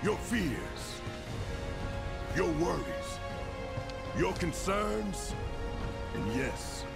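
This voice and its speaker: An actor playing a gruff, fervent, big-hearted rebel squad leader in a video game, blunt and preachy with folksy slang. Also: male voice, high voice, sing-song, extremely slow, heavily acted. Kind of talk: video game cutscene acting. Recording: loud music underneath. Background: loud music.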